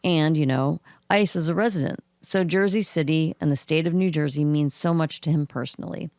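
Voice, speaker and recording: Low, gravelly-voiced woman podcaster. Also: fairly quick, dry recording, studio quality. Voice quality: froggy, gravelly voice. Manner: reads news items aloud at an even pace, with throat clearing. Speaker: podcast co-host reading cannabis news. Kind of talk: casual podcast news reading.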